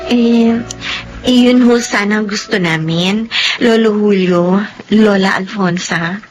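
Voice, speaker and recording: An actress playing a caring, respectful grown daughter in a Filipino melodrama, gentle and polite, with noise behind her. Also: mid-range voice, takes it slowly, music in the background, lightly acted. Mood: earnest. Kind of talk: emotional Filipino melodrama acting. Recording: noisy ambient background.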